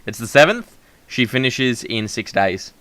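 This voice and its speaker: A young man hosts a relaxed podcast chat. His voice is bright and carries loudly, and he often loops back on fillers and restarts his sentences. He has the easy tone of someone chatting with a friend. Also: mid-range voice, measured pace, clean tone. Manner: loud, projecting voice; frequent fillers and restarts, laughs at himself. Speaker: podcast host. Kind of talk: casual chat.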